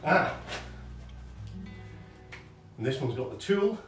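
A man's voice: on the high side, big pitch swings, slow, a bit bright. A middle-aged man casually talking through an unboxing in a large showroom, chatty and hesitant with fillers, moving about as he lifts and unpacks. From England. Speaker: hi-fi shop owner. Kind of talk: casual product unboxing demo. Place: large carpeted listening showroom indoors. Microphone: camera mic from across the room. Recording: roomy showroom sound from far off with music.

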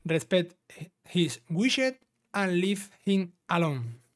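A man's voice: sits high, sing-song, extremely slow. A man giving a casual step-by-step gameplay walkthrough, instructing and checking in with the listener as he goes. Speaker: video game guide host. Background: game music.

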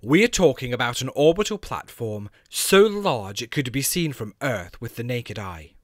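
Man for scripted trailer-style narration, highly varied intonation, dry studio-like sound. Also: expressive, normal speed, no echo. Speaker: voice actor. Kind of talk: scripted promotional narration for a voice reel.

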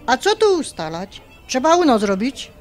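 Bossy Polish highlander mother, high voice, very animated, scolding, folksy, radio drama. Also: normal speed, faint music behind. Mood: brusque, nosy. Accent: Polish highlander (Podhale). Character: bossy middle-aged mother, blunt, old-fashioned, pious.

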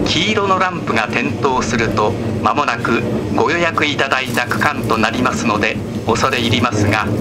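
男性の車内自動放送、走行音あり. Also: male voice, medium pitch. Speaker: recorded train announcer. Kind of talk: formal scripted train announcement. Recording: noisy recording inside moving train. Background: train running noise.

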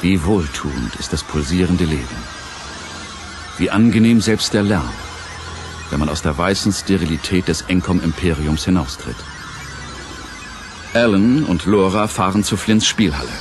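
A man's voice: low voice, animated, normal speed, pauses often, over music. A man narrating a scripted audio play in a dramatic storytelling style.

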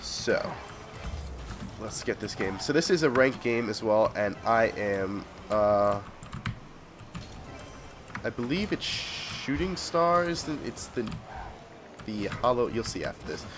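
A man's voice: on the low side, expressive, slow pace, long pauses, noisy background. A young adult man hosting a gaming video with casual gameplay commentary, sounding congested and sick with a cold.

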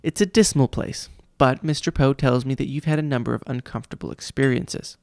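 A man's voice: mid-range voice, steady tone, a little fast, gravelly, no echo, studio quality, lightly acted. A man reading a storybook, voicing a pompous, cruel male vice principal who mimics people mockingly. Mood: condescending.

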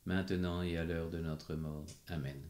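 A man reciting the responses of a rosary in an even, measured, prayerful way. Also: low-pitched. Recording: echoey room.

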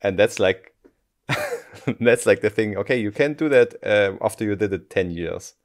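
Deep-voiced German man, thoughtful. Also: huge pitch swings, unhurried. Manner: thoughtful, self-deprecating, frequent restarts. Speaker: game developer guest. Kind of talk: casual podcast interview.

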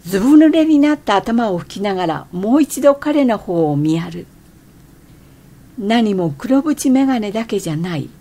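An actress playing an irritable middle-aged woman hiker who narrates her thoughts in expressive radio drama style. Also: medium pitch, normal speed. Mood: observing. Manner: expressive, theatrical inner monologue.

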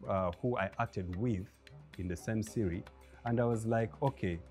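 A man in his thirties with a Ugandan English accent, a film producer talking as a guest in a studio interview, lively and acting out the voices he quotes. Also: on the low side, moderate pace, faint music behind. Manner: animated storyteller, acts out quoted voices with laughs. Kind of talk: interview conversation. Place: bright indoor studio set with armchairs.

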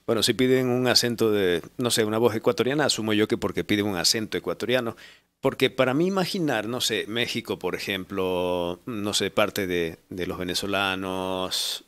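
A man in his thirties from Manabí, Ecuador, with an Ecuadorian accent, hosting a casual interview podcast in a relaxed, chatty way. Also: lowish voice, normal speed. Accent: Ecuadorian Spanish from Manabí. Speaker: podcast host. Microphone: handheld microphone.

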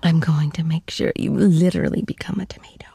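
A woman playing an affectionate, teasing girlfriend in an intimate ASMR roleplay, cooing tenderly and often slipping into soft, breathy whispers. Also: sits low, no room sound, full character voice. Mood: playful, adoring. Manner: cooing, playful, slow and tender. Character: affectionate, teasing young girlfriend comforting her shy boyfriend.

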